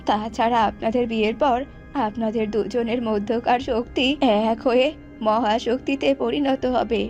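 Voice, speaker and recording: A solemn old wise woman of a cartoon fairy tale, put on by a female actor, explains things gravely. Her voice sits fairly high. Soft music runs behind it. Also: highs cut off, close to an everyday voice. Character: wise old woman advisor of a bird kingdom. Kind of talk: cartoon voice acting.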